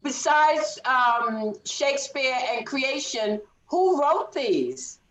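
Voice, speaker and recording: A woman with a slightly high voice and an unhurried pace. She chats casually on a video call, asking questions as an audience member. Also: very animated, a bit bright, low-quality recording. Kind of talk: casual question-and-answer chat.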